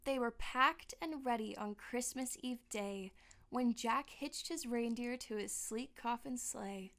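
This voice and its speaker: A woman narrating a storybook in rhyming verse with expressive, theatrical storytelling. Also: on the high side, slow, slight background noise.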